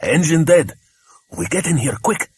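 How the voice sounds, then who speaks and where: A man acts a foreign boatman in a storybook, speaking clipped, broken English. His voice is husky and recorded dry and close. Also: a little high, animated, measured pace, no room sound, voice pushed up, full character voice. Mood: grinning, urgent. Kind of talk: audiobook character voice.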